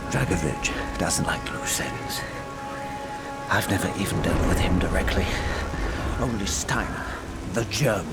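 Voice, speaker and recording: A man's voice: deep voice, measured pace, loud music behind the voice. A man playing a defiant, cynical English chemist on the run in a video game, speaking with sardonic, fatalistic scorn. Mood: grim, resigned. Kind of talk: dramatic video game acting.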